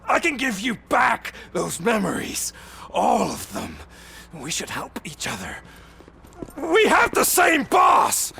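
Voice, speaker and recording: A man playing a volatile, taunting criminal villain in a video game, gravelly and swinging between quiet menace and shouts. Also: sits high, huge pitch swings, slow, slight background noise, full character voice. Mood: sly, taunting.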